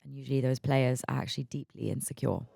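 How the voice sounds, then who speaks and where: A raspy, hungover woman in her mid-twenties hosting her own podcast, chatting candidly, laughing often and repeating words as she talks. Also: very deep voice, fairly even intonation, fast, warm tone, no echo. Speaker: podcast host. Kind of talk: casual solo podcast chat.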